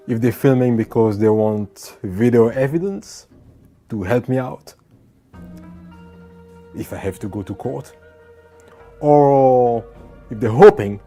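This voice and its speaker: A man born in France recounting a run-in with police in a conversational, animated way, full of asides to the listener. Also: medium pitch. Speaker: vlogger. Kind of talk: storytelling to camera.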